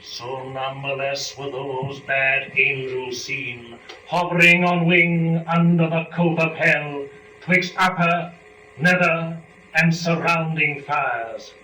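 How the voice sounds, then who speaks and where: A man with a fairly high-pitched voice speaks with formal, deliberate articulation, like a presenter of poetry. He is picked up from a distance in an echoey room, so the words ring around him. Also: steady tone, bright, forceful tone. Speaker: performer and lecturer. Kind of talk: dramatic poetry reading and introductory lecture. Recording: echoey room, distant mic, dull, noisy.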